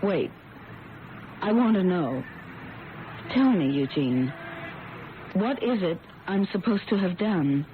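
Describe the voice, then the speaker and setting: A woman's voice: on the low side, lively intonation, slow pace, long pauses. An actress playing a distressed, honest woman who questions her deceitful companion insistently in an old-time radio drama. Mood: suspicious, insistent. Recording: tinny narrowband old radio recording, noisy.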